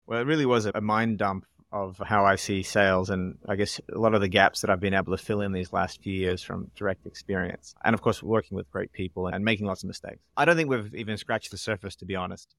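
A man's voice: low voice, steady tone, fast, hardly any pauses, fairly bright tone, no echo. A man co-hosting a casual panel discussion, speaking candidly and reflectively. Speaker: podcast co-host.

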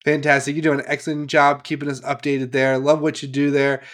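A man's voice: fairly high pitch, monotone, a little fast, fairly bright tone. A man hosting a casual sports podcast, a little nasal as he gets over a cold, upbeat and chatty. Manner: upbeat, chatty, laughs easily. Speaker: podcast host.

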